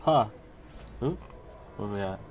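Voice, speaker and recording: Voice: mid-range voice, expressive, a little slow, a little mellow, slight background noise. A game streamer chatting casually and reacting to a game in short, offhand remarks. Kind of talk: casual livestream reaction chat.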